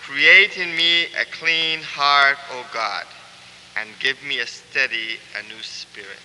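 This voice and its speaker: A pastor preaches slowly in a bright, fairly high voice. His earnest delivery builds to fervent emphasis. The sound rings with the long reverb of a church. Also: slight background noise. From Cuba. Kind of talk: sermon. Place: church sanctuary.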